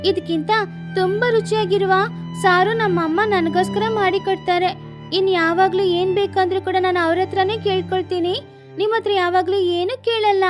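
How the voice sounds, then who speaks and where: A tiny, innocent sparrow girl, acted out by a woman for a cartoon bedtime tale. Her voice is very high and childlike, with an earnest, pleading way of talking over faint music. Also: fairly even intonation, normal speed. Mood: hurt. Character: little sparrow girl, innocent, hungry, easily hurt. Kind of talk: children's animated bedtime story.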